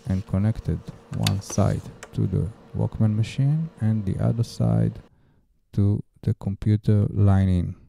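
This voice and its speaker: A man gives a scripted how-to narration at a very slow pace. His voice sits on the low side and has a heavy creak. He moves plainly from one step to the next. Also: fairly even intonation, dark tone. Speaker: DIY tutorial narrator. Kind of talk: scripted instructional voice-over.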